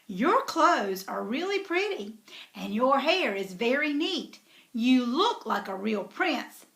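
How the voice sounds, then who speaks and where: A woman's voice: fairly high pitch, very animated, takes it slowly, a bit bright, roomy sound, full character voice. Woman playing a bold clever little princess. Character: brave clever young princess girl.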